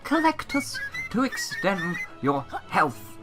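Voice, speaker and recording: A man's voice: sits high, sing-song, takes it slowly, music in the background, pitched above the performer's own voice, exaggerated voice. A man voicing a boastful game creature that presents health upgrades, in a grandiose announcer manner. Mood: showy.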